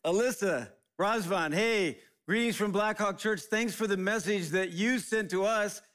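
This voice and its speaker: A man with a slightly high voice hosts a live church service. He talks in an upbeat way and rallies the crowd between segments. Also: measured pace, a bit bright. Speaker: church host.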